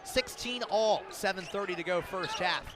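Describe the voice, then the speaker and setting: A man's voice: high voice, moderate pace. A man doing live radio basketball play-by-play in clipped, vivid calls. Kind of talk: live sports commentary. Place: basketball arena during live games. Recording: clear arena noise, bouncing basketball.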